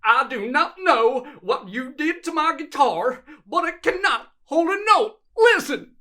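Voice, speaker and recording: A woman's voice: high voice, lively intonation, a little slow, sharp tone, exaggerated voice. A woman voicing a dramatic, easily wounded musician customer in a cartoon, with a melodramatic manner. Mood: wronged, upset. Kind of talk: animated cartoon dialogue.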